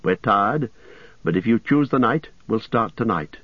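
A grave young hobbit, read by a man speaks quietly and sternly. The voice is low and husky. The recording is dull and dry. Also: animated, measured pace, no room sound.

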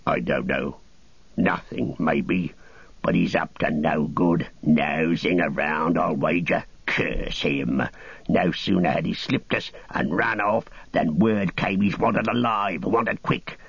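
A man's voice: low voice, takes it slowly, gravelly, husky, no room sound, muffled recording, heavily acted. A man reading a story, voicing a big male orc soldier who bullies and threatens. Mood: menacing.

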